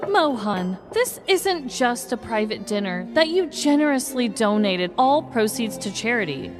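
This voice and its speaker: Woman acting high, theatrical villager. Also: very animated, measured pace, over music. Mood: ceremonious. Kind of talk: scripted comedy audio drama.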